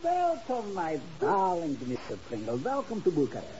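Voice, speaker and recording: An actor playing a grandiose, sly baron in a 1950s radio drama, effusive and self-adoring with theatrical endearments. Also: male voice, sits high, huge pitch swings, moderate pace, a little mellow. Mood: gushing welcome. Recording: hissy, dull vintage radio recording.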